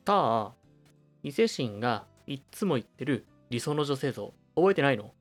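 A man's voice: medium pitch, sing-song, normal speed, faint music behind, close to an everyday voice. A man playing an incredulous, quipping young friend in an amateur radio drama.

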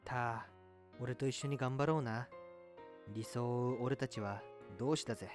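A man playing a boastful, lovestruck, excitable young guy with a boyish, gushing manner in an amateur comedy radio drama. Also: medium pitch, a little slow, music in the background, close to an everyday voice. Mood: chummy.

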